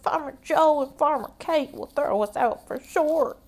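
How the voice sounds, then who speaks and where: A woman's voice: sits high, a little slow, a little mellow, voice pushed up. A woman reading aloud and voicing a fussy, nervous young turkey in a children's storybook. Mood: anxious.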